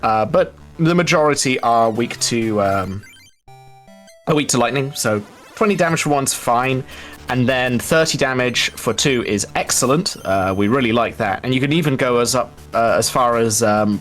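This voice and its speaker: A man's voice: mid-range voice, lively intonation, normal speed, fairly bright tone. Man explaining gameplay over music. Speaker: speedrun commentator. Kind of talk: live gameplay commentary. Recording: music behind the voice.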